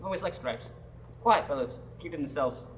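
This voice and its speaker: A man's voice: a little high, animated, fairly quick, a little hiss or hum, close to an everyday voice. A young man in a student radio drama, playing a nervous man who stammers when flustered. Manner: jittery, stammering.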